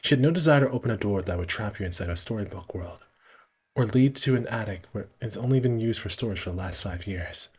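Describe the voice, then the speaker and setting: A man's voice: on the low side, expressive, fast talker, breathy edge. A man casually streaming a visual novel, reading the narration aloud and correcting himself with muttered asides.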